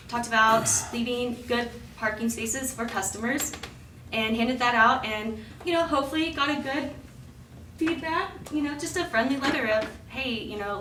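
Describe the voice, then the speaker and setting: A woman with a slightly raspy voice giving a chatty, informal report at a public meeting, full of ums and restarts. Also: on the high side, pushed and bright. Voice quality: slightly raspy from cheering over the weekend. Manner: chatty, many ums and self-corrections. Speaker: downtown business promoter reporting to council. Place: council chamber. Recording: echoey council chamber, mic far off, faint hiss.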